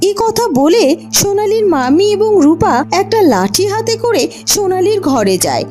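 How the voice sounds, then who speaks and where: High-voiced, animated woman storyteller. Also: normal speed. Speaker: narrator. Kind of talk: scripted children's fairy-tale narration. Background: background music.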